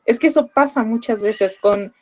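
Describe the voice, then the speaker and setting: A woman's voice: on the high side, moderate pace, darkish tone. Mexican woman teaching a class. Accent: Mexican Spanish. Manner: conversational, explanatory, with frequent fillers. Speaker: language teacher. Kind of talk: online class lecture.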